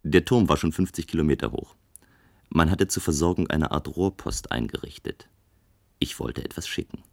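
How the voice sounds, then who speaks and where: An actor playing a brooding male inventor in a radio drama, narrating and speaking in a measured, deliberate, detached way. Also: a bass voice, lively intonation, vocal fry, dry recording, heavily acted. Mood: brooding, matter-of-fact. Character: brooding male inventor, coolly destroying his own great tower. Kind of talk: German radio drama, first-person narration and dialogue.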